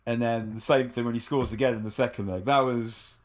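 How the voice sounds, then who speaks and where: A man co-hosting a podcast who chats casually about football in an animated way, with chuckles and quick asides. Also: mid-range voice. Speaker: podcast co-host.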